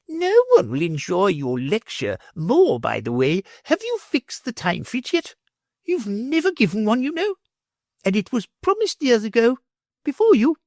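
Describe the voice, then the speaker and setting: Snooty grand lady, reader doing the voice, high and swooping, dry close sound. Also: wildly swooping pitch, normal speed, a little mellow, no echo. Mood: lofty. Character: haughty grand lady, lofty and gushing.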